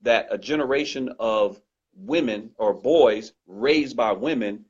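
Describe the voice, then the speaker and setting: Loud, husky-voiced man in discussion. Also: medium pitch, measured pace. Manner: earnest, emphatic, repeats points. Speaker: guest speaker. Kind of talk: conversational discussion. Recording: dull lo-fi recording.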